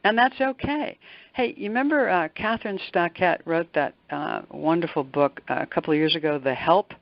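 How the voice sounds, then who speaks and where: A woman's voice: on the low side, normal speed, no echo, highs cut off. An older woman giving conversational interview answers as a motivational coach, emphatic and fond of repeating words for stress. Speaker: motivational speaker and executive coach, interview guest.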